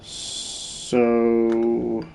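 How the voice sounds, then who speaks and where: A man's voice: medium pitch, completely flat delivery, extremely slow, creaky, mellow, soft background music. A man streaming a video game, giving casual commentary and muttering to himself as he thinks aloud. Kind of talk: casual live gameplay commentary.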